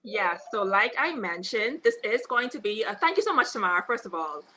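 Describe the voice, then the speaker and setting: A woman's voice: medium pitch, very animated, fairly quick, bright, forceful tone, a little hiss or hum. A young woman hosting a video-call interview in an upbeat, conversational way. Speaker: host. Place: indoors at home, seated in armchair. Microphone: webcam on video call.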